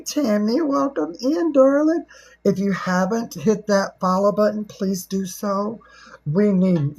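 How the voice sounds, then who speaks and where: A middle-aged woman with a husky voice, chatting casually as a livestream host in a folksy, teasing, joking way. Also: lowish voice, a little mellow.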